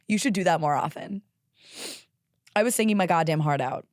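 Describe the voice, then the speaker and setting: A young woman with a raspy, hoarse voice talks candidly and with some profanity as the host of a solo podcast. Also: fairly low pitch, normal speed, dry recording, studio quality. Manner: candid, profane, animated with laughs. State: hoarse from karaoke singing.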